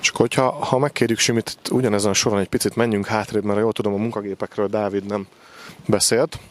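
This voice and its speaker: A man's voice: on the low side, level tone. A man in his forties giving an unscripted sales walkthrough as a machinery dealer outdoors, with hesitant, repetitive phrasing. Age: 40s. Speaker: farm machinery dealer presenting stock. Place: outdoor gravel machinery yard. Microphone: handheld microphone with windscreen.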